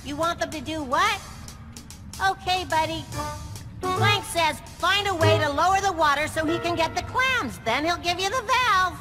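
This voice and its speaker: A man's voice: extremely high pitch, lively intonation, normal speed. A man playing a naive, stubborn small boy in a cartoon game, with a childish, earnest way of talking. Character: naive, stubborn small boy devoted to his wooden plank. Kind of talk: cartoon video game acting. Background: music.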